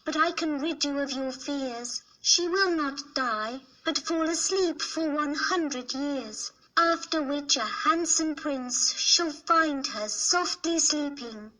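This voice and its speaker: A woman voicing a kindly good fairy, with a soft, reassuring delivery. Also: high-pitched, slow, few pauses, exaggerated voice, low-quality recording. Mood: soothing. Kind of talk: storybook character reading.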